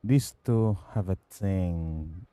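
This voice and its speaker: A man's voice: low voice, drawn-out words, mellow, no echo. A man with a Filipino accent giving casual, offhand commentary as he streams a game. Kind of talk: casual live gameplay commentary.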